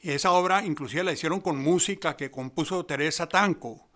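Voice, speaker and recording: A man's voice: a little high, a little fast, sharp tone. A Colombian man talking as an expert in an interview, explaining in a discursive, emphatic storytelling way. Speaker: expert commentator, theatre researcher.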